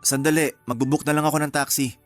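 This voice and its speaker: A woman playing a cool, protective young man in a Filipino radio romance drama, curt and deadpan but caring. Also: low-pitched, quick pace, lightly acted. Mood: gentle. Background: music.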